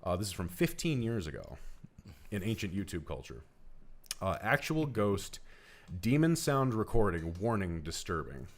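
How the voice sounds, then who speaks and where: A man's voice: on the low side, very animated, normal speed. A man telling a personal story casually on a podcast, earnest and emphatic in the way he describes things. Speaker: podcast co-host.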